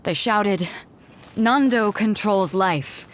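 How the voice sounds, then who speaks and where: A woman's voice: mid-range voice, slow pace, slight background noise, natural voice. An actress voicing a bold, seasoned seafaring woman in a video game, frank and self-assured as she tells her story. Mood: grave, bitter. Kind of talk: video game character dialogue. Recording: music behind the voice.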